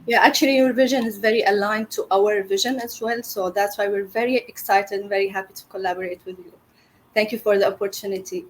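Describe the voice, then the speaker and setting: A woman's voice: medium pitch, fairly even intonation, fast, slight background noise, low-quality recording. A middle-aged woman from Syria, a business founder telling her story in an interview, earnest with many fillers and restarts.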